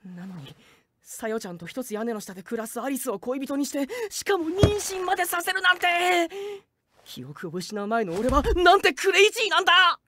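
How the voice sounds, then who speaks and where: A woman playing a flustered, earnest, good-natured teenage boy in an anime audio drama, with stammering, excitable delivery. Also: high-pitched, moderate pace, slight background noise. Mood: alarmed. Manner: stammering, excitable, reacts in outbursts. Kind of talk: Japanese anime drama CD acting. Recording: faint music behind the voice. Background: faint background music.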